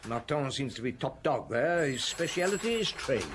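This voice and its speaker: An actor playing a middle-aged chief inspector in an audio drama, gruff and wry, with muttered hesitations and terse orders. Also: male voice, medium pitch, sing-song, normal speed, a bit bright. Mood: brisk, musing.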